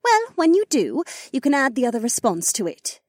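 Woman acting sweet high-pitched fantasy wife, very animated. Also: fairly quick, dry recording, clean studio sound, exaggerated voice. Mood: chatty, teasing. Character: sweet-voiced phoenix wife, teasing and brisk. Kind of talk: audiobook character dialogue.

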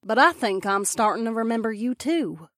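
A woman performs a severe female desperado boss from an adventure audiobook. She drawls with cocky mockery and lively swings in pitch. The sound is dry and close. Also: moderate pace, dry recording. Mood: mocking, amused. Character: smirking, severe female desperado gang leader in the desert. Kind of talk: audiobook character dialogue.

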